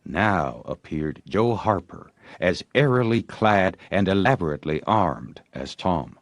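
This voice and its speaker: A man's voice: sits low, expressive, measured pace, no echo, studio quality. A man narrating a story expressively as an audiobook reader.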